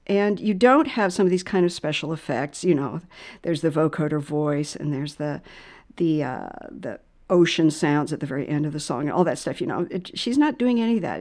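A woman hosting a reflective music conversation, speaking thoughtfully and haltingly, often repeating words and phrases as she goes. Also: on the low side, a little mellow. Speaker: podcast host.

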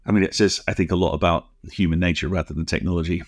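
A middle-aged or older British man co-hosting a casual podcast, explaining things in a halting, discursive way with frequent asides. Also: sits low, fairly even intonation, dry recording. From United Kingdom. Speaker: podcast co-host.

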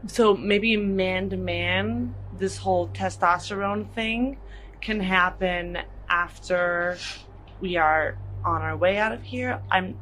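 A woman playing a tabletop game, speaking in character in a measured, reasoning, conversational way. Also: lowish voice, fairly bright tone, a little hiss or hum, rough recording. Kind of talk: conversational in-character role-play.

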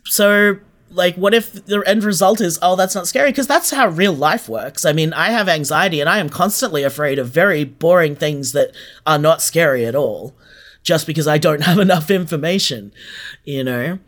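An Australian podcast creator speaks in a medium register. The delivery is casual and conversational, that of an interviewee thinking out loud while explaining. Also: mid-range voice, moderate pace.

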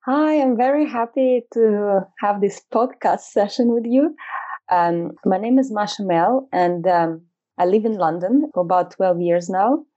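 Woman, guest on a podcast, mellow voice, lots of ums and restarts, slightly muffled audio. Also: medium pitch, a little slow. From Moscow, Russia. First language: Russian. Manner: hesitant, many fillers and restarts, light laughs. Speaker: guest, fashion photographer. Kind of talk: casual interview chat.

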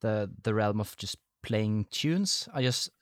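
Halting male host, dry sound. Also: on the low side, expressive, no room sound. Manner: halting, with many fillers. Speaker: podcast host. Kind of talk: interview conversation.

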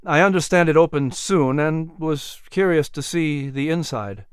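A troubled colonial gentleman in a historical storybook, acted out by a male narrator. He sounds burdened and grave, with halting phrases. The voice is clear and very dry. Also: a little high, no room sound, lightly acted. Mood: hesitant, grave.

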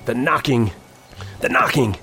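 Casting: grim, haunted frontiersman, measured dramatic delivery for western horror audio drama, scored. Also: medium pitch, huge pitch swings, creaky, full character voice. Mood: alarmed, urging. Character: grim haunted frontier man recounting an Old West horror. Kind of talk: dramatic first-person audio drama narration. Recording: dramatic music under the voice.

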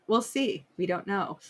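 A woman co-hosting a podcast, chatting casually about pop culture in a jokey, opinionated way. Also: medium pitch. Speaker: podcast co-host.

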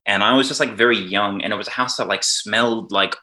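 A man's voice: lowish voice, fast talker, sharp tone. A man in his thirties, raised in New Zealand, with an Australian accent, chatting casually as a podcast guest in a rambling way, often breaking off mid-sentence. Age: 30s. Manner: rambling, self-interrupting, laughs between thoughts. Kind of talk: casual chat.

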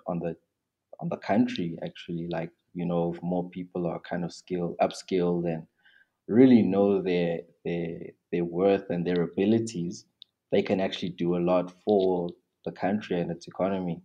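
A man's voice: low voice, dark tone, dull audio. A young South African man talking casually as an interview guest, rambling with many restarts and fillers.

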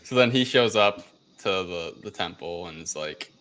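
Very deep, bright-voiced man recapping casually. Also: lively intonation, normal speed, poor audio. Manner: rambling, slangy retelling with acted-out quotes. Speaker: podcast co-host. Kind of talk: casual film recap chat.

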